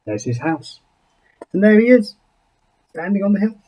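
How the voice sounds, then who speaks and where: A man, a rabbi, reading a picture book aloud to young children expressively, with dramatic pauses and small asides. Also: sits high, sing-song, dark tone, low-quality recording.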